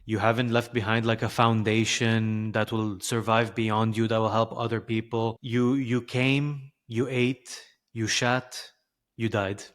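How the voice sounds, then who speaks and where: This is a young man in his twenties from Lebanon and Dubai, talking as a podcast guest. His voice sits low and stays fairly monotone. He muses in a relaxed way and leans on filler words as he thinks. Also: unhurried. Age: 20s. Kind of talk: casual conversation.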